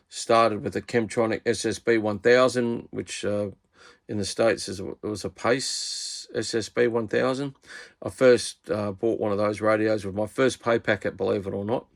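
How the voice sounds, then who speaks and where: A man's voice: fairly low pitch, flat delivery, moderate pace, gravelly. Laid-back Australian man in his fifties. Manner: laid-back and rambling, full of slang. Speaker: radio hobbyist reviewer. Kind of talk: casual video review.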